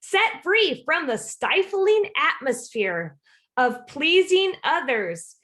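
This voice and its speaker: A woman's voice: high-pitched, big pitch swings, slow pace, fairly bright tone. A woman co-hosting a podcast, talking casually about faith with an emphatic, exclamatory delivery. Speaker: podcast co-host. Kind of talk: casual devotional discussion.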